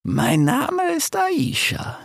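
A cackling, scheming female hyena, as a male narrator puts her on, high and wildly swooping in pitch. She is bold, flirtatious and flattering, a storybook schemer through and through. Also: drawn-out words, creaky, no room sound, clean studio sound, full character voice. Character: sly, flattering, ambitious female hyena who giggles.

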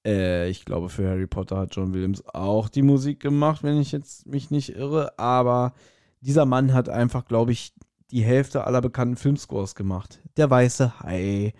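A man's voice: mid-range voice, a little slow, mellow, no room sound, studio quality. A man co-hosting a podcast in casual chat, talking enthusiastically and rambling as he repeats phrases. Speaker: podcast co-host.

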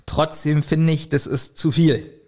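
A man's voice: on the high side, steady tone, unhurried, darkish tone. A man chatting casually as a podcast co-host, informal and reviewing as he goes. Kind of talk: casual chat reviewing an audio drama. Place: remote recording at a computer.

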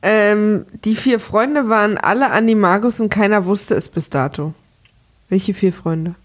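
A woman's voice: medium pitch, unhurried. Chatty young woman, laughing podcast host. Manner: chatty, laughs often, teasing. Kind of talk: casual podcast chat, reading listener mail.

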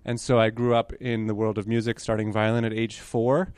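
A man's voice: fairly low pitch, little pitch movement, a little fast, darkish tone, clear, resonant tone, no echo. A young man from New York telling his story in a chatty interview, often correcting himself and adding apologetic asides. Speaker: guest conductor candidate.

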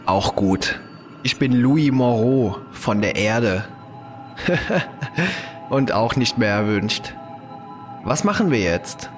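Slow-paced, wry male space fugitive actor. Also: mid-range voice, lively intonation, faint music behind. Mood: wry relief. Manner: swearing, wry. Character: defiant, wry human man on the run in space. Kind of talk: science fiction audio drama acting.

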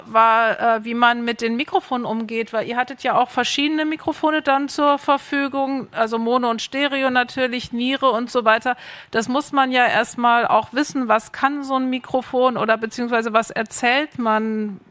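Moderatorin einer Podiumsrunde, höhere Stimme, lenkt das Gespräch. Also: female voice, on the high side, steady tone, moderate pace, fairly bright tone. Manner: asks questions, guides speakers. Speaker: panel moderator. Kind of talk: moderating a panel discussion.

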